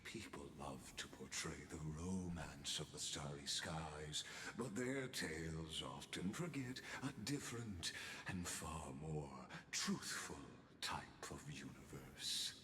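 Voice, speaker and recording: A deep, echoing voice for a sinister machine mastermind in a video game. The delivery is ornate and falsely courteous, swooping widely in pitch as it lectures with grand theatrical poise. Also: male voice, lively intonation, takes it slowly, pushed and bright, a little hiss or hum, heavily acted. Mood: grandiose, pleased. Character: suave, courteous, sinister male machine mastermind observing his experiment.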